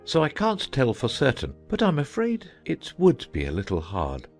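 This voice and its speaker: Give the part of a gentle old knight to a man acting it out, a solemn, muddled inventor in a storybook drama. He rambles earnestly and thoughtfully, his pitch swinging widely from low to high, with faint music behind him. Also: huge pitch swings, moderate pace. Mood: solemn, rambling.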